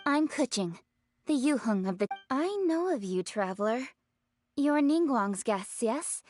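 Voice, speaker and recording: A woman's voice: a little high, lively intonation, unhurried, darkish tone, soft background music. A woman playing a self-assured young noblewoman official in a video game, speaking formally and frankly. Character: young noblewoman official, brisk, frank, proudly sceptical of gods. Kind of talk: video game dialogue acting.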